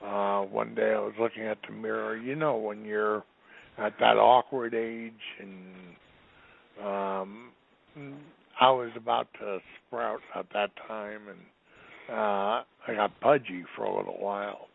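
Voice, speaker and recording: Gravelly man in his fifties, tinny line. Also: lowish voice, fairly even intonation, a little slow. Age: 50s.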